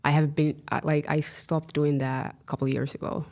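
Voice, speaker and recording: Somali-born woman with a gravelly edge. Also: sits low, level tone, brisk, a little mellow. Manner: frequent "like, you know" fillers, wry humor. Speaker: guest. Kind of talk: casual conversation.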